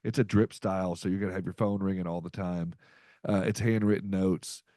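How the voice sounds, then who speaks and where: A man's voice: low voice, fairly even intonation, fairly quick, dark tone, dry recording, studio quality. A man hosting a podcast, chatting casually in an upbeat, folksy way with lots of fillers. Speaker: podcast host. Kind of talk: casual podcast chat with ad reads.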